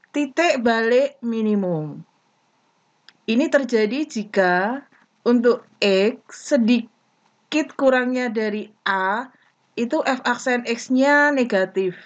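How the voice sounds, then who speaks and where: A woman giving a math lesson, explaining step by step in short, deliberate phrases. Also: fairly high pitch. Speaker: math teacher. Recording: dull lo-fi recording.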